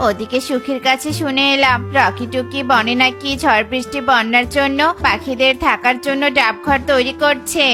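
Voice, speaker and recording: A woman's voice: sits high, fairly even intonation, normal speed, few pauses, music in the background, natural voice. A woman voicing a scheming, self-interested bird in a children's cartoon. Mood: gossipy.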